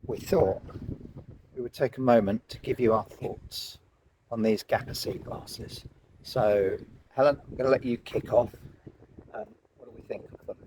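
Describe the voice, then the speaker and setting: A man's voice: medium pitch, normal speed, mellow, slight background noise, poor audio. A man giving a casual, explanatory product review, speaking informally and directly to viewers. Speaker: vlog host and product reviewer.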